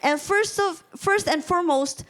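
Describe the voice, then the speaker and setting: A woman's voice: very high-pitched, a little slow, fairly bright tone. A woman delegate from Indonesia giving a formal, earnest prepared speech to a union congress at a podium microphone. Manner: earnest, rallying delivery. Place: large congress hall.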